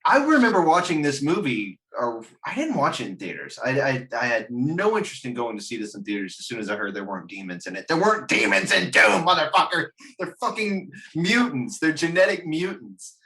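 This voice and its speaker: A man in an animated, casual podcast chat, ranting emphatically and swearing freely. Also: medium pitch, fairly quick, few pauses, low-quality recording. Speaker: podcast panelist ranking video game movies.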